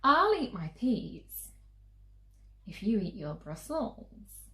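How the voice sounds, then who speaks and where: A woman voicing a stubborn, defiant little girl in a storybook, refusing with slow, deliberate emphasis. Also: medium pitch, sing-song, fairly bright tone, reverberant, exaggerated voice. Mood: knowing.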